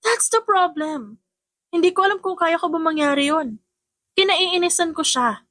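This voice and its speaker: Lady doing an upset stubborn young pregnant woman, really high voice, agitated, audiobook drama. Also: normal speed, fairly bright tone, dry recording, studio quality. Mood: frustrated, agitated. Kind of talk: audiobook character acting.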